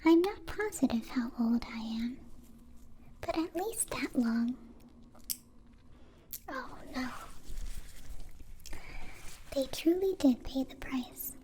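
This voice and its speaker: A woman voicing a gentle, curious, naive alien in a soft whispered ASMR roleplay, with halting, formal, literal phrasing. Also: high voice, animated, a little hiss or hum. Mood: wistful, matter-of-fact. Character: gentle, curious, naive captive-born alien, newly befriended.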